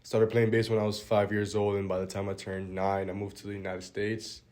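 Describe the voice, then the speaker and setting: A young man from the Dominican Republic, a college baseball pitcher, answering interview questions in a relaxed, plainspoken way and letting his phrases trail off quietly. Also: a little fast. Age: young adult.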